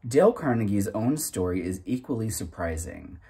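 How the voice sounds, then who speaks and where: A man in his thirties reading aloud from a self-help booklet in a deliberate, emphatic manner, occasionally stumbling over the text. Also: on the low side, very animated, mellow, slightly rough. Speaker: narrator reading a book aloud.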